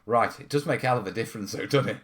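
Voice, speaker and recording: A man's voice: fairly low pitch, racing, a bit bright. A man from North Wales hosting a live hobby stream, chatting casually and answering questions in an informal, rambling way. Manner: informal, rambling, often checking in with "yeah" and "you know". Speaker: host of a terrain-modelling hobby stream. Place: studio.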